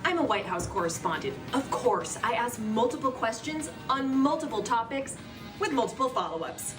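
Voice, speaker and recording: A woman's voice: on the high side, lively intonation, normal speed, reverberant, distant mic, music in the background. A woman playing herself as press secretary in a comic sketch, playful and teasing.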